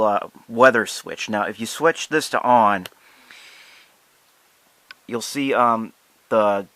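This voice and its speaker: Bright-voiced American man, halting and low-pitched. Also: no room sound. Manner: halting, hesitant delivery with drawn-out pauses. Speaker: product reviewer. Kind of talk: casual unscripted product demonstration.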